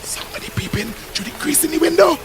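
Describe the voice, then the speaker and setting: A man's voice: very high-pitched, big pitch swings, fast. A man acting in a radio play as a shy, flustered young man with a Trinidadian accent and a hesitant, stammering way of talking. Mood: giggly, alarmed. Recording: radio play with faint music behind.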